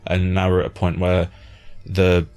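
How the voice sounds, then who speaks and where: A man's voice: low-pitched, monotone, normal speed, a little mellow, clear, resonant tone. A man hosting a casual interview, chatting in an informal, blunt way with loose, sweary phrasing. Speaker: interviewer, host. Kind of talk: casual chat.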